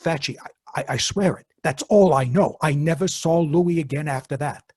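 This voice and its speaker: A man playing a frightened accused fisherman in an old-time radio drama, husky, earnest and stammering as he pleads. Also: on the high side, moderate pace, a little mellow, dry recording, clean studio sound. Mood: anguished, earnest.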